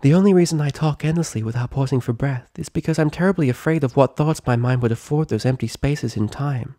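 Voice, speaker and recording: A man's voice: medium pitch, quick pace, mellow, no echo, studio quality, pitched above the performer's own voice, natural voice. A man voicing a bubbly, talkative young female cartoon pony in a story reading, with gushing run-on speech. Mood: solemn, anguished. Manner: gushing, rambling, endless run-on chatter. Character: hyperactive, chatty, bubbly young female pony party girl. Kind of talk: animated fan fiction character reading.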